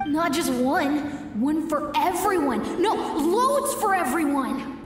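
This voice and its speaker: Brash little rich-dreamer boy, game voice, high, huge distant reverb. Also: lively intonation, slow, over music. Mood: boastful. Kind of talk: video game voice acting. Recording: heavy hall echo, distant.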